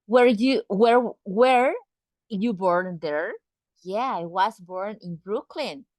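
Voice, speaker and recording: Brief: woman English teacher, slow and patient, repeats words, dry close sound. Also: mid-range voice, a little mellow, no room sound. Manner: asks students direct questions. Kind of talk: online English class.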